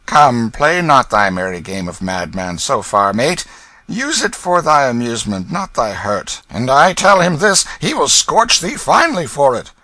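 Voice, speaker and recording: A man's voice: mid-range voice, very animated, a little slow, bright, forceful tone, no echo, pitched above the performer's own voice, exaggerated voice. A man doing a sly, bullying beggar-thief. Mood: scoffing advice. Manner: scornful, wheedling, bullying. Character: sly wheedling young male beggar-thief.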